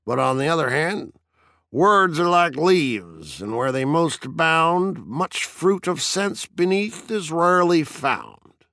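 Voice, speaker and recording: Man voicing a theatrical, taunting fire chief, pitched up. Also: animated, slow, sharp tone, no echo, studio quality, full character voice. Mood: mock-jovial. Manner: theatrical, declamatory, needling, mock-jovial. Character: Beatty, taunting, grandiloquent male fire chief, slyly gleeful bully. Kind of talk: audiobook character acting.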